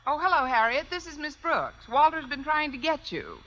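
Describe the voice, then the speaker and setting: A woman's voice: high-pitched, sing-song, moderate pace, pushed and bright, full character voice. An actress playing a wisecracking teacher who is working a school switchboard in an old-time radio comedy. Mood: wry, flustered. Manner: wry, quipping. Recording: noisy dull old radio recording.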